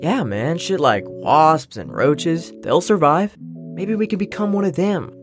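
A woman's voice: deep voice, animated, unhurried, music in the background. A woman playing an easygoing, slangy young bandmate in an audio drama. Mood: easygoing, reassuring. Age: young adult. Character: laid-back, joking young bandmate, a slacker philosopher.

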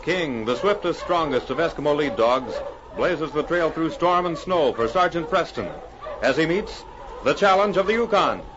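A man's voice: on the high side, animated, normal speed, heavy background noise. A man narrating a radio drama as announcer, in a formal, declamatory 1940s style. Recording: muffled old radio recording.

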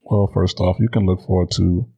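A man in his thirties with an American accent talks as a solo podcast host. His voice is very low and husky, and he speaks conversationally to his listeners with little rise and fall. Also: steady tone, normal speed, warm tone, lo-fi sound. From Chicago, United States.

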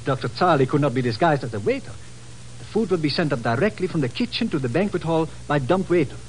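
Man as high-voiced, earnest colonel. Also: lively intonation, fast talker, natural voice, rough recording. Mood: formal, earnest. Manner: formal, emphatic. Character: earnest, excitable Portuguese police colonel. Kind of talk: 1950s radio drama acting. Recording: old radio recording with hiss.

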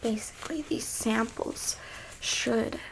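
Woman playing a slow, half-whispering smug alien abductor. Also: a little high, background noise. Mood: clinical, soft. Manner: condescending, teasing, breathy half-whispered delivery. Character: smug, teasing female alien abductor examining a captured specimen. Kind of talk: ASMR roleplay.

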